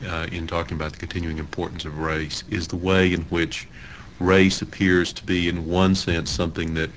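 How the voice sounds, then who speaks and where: A man hosting a talk show who introduces his guest formally and asks questions in a halting way, with many uhs. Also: deep voice, little pitch movement, slight background noise. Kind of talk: interview hosting.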